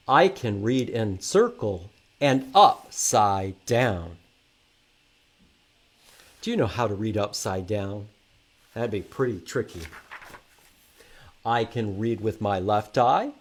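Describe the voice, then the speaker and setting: Animated man leading children's storytime. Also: mid-range voice. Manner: instructional, addressing young children with questions. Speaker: library storytime host. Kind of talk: children's read-aloud and sing-along.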